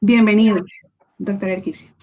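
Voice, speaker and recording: A woman, presenting an event and reading formal speaker introductions in a measured way, with small self-corrections. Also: mid-range voice, steady tone, a little mellow.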